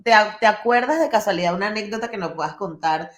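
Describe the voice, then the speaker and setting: A woman's voice: medium pitch, fast. A woman interviewing a guest, laughing often with eager fillers. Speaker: podcast interviewer. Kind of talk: casual interview chat. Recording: roomy echoey sound.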